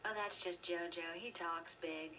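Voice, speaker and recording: An actress playing a streetwise young daughter, defiant but softening, in a video game. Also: female voice, on the low side, steady tone, measured pace, distant mic, a little hiss or hum, rough recording. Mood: casual, reluctant.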